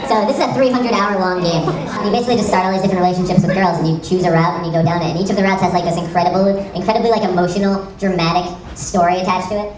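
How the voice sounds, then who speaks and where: A woman presents at a panel in a chatty, enthusiastic way, racing along in a slightly low voice. She jokes at her own expense, and she sounds far from the mic in an echoey room with a crowd. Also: fairly even intonation, rapid-fire, hardly any pauses. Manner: enthusiastic, self-deprecating, jokey geek talk. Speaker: convention panel presenter and site creator. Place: convention panel room with audience. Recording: echoey room, distant mic, audience noise. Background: audience crowd.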